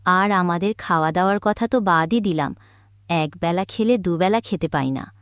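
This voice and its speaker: A woman voicing a gentle, worried wife of a poor villager in a cartoon fairy tale, earnest and coaxing. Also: on the low side, moderate pace, no room sound, clean studio sound, lightly acted.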